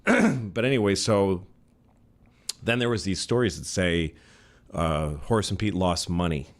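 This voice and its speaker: A man's voice: low-pitched, expressive, unhurried. A man in his forties talking casually as a podcast guest, rambling and restarting his sentences, often reaching for sports comparisons. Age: 40s. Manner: rambling, self-interrupting, with sports analogies. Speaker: comedian guest.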